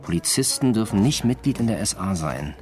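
A man's voice: on the low side, expressive, brisk, a little mellow, soft background music, natural voice. A man acting a sober police detective in a radio drama, with dry, matter-of-fact, clipped delivery. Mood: businesslike. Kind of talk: German radio drama acting, naturalistic dialogue.

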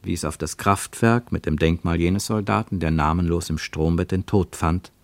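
An actor as the narrator of a literary radio play, telling a travel story in measured, rhythmic phrases. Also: male voice, deep voice, no echo. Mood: measured, descriptive.

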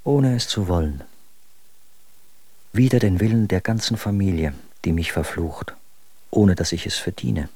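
Gravelly, embittered Romantic man of letters. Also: deep voice, unhurried, pauses often, dark tone, dry recording, full character voice. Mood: bitter, brooding. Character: embittered, dismayed Romantic man of letters recounting his disastrous match. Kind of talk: radio drama monologue from old letters.

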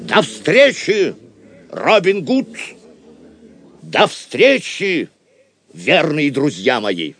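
A man's voice: a little high, very animated, drawn-out words, long pauses, fairly bright tone, heavily acted. An actor playing a noble, steadfast king in a Russian audio drama, stately and commanding. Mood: rousing farewell. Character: Richard the Lionheart, hidden king, noble and steadfast.

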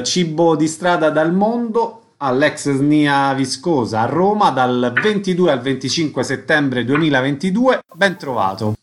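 A man presenting and asking questions as a radio interview host. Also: a little high.

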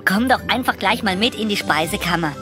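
A woman's voice: medium pitch, fairly quick, over music, close to an everyday voice. A woman voicing a young male jumping mouse, friendly, scatterbrained and boyish, in a children's audio play. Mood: friendly, helpful. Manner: bubbly, boyish, animated.

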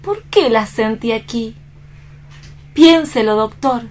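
A woman's voice: on the high side, slow. An actress playing a distraught but resolute young woman in a radio drama, speaking earnestly and solemnly. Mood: grave, reproachful. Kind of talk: dramatic staged radio reading.

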